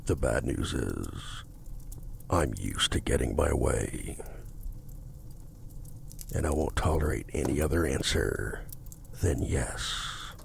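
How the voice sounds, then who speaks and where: A man playing a courtly, possessive, seductive demon in an ASMR roleplay, speaking formally and commandingly in a gravelly, at times breathy voice. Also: very deep voice, lively intonation, slight background noise, heavily acted. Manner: slow, formal, theatrical, commanding.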